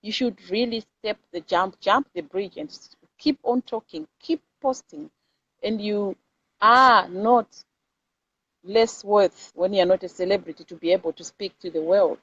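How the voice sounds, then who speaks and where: A woman's voice: mid-range voice, measured pace, a bit bright. A woman with a Zimbabwean accent gives an informal motivational talk to camera, speaking emphatically and repeating phrases to press her points. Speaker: host of live videos. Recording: dull lo-fi audio with clear background noise.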